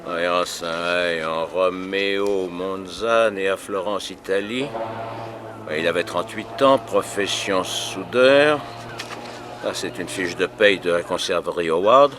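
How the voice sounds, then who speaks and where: A gruff police inspector in a vintage radio crime play, with a fairly low voice. He talks in a colloquial, down-to-earth way, full of short interjections. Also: slow pace, noisy background. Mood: matter-of-fact, grim. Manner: colloquial, interjecting, deferential to the detective. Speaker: actor in a radio crime drama. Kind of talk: 1960s French radio drama acting.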